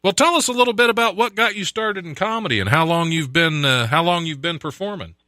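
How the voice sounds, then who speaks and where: A man's voice: a little high, quick pace, sharp tone, dry recording, clean studio sound. A man hosting a radio talk show, chatting casually, reading odd news stories aloud and laughing often at his own jokes. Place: radio studio.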